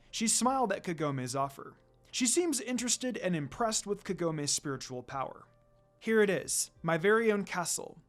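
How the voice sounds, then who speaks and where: A narrator reading a scripted fan fiction story aloud. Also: mid-range voice.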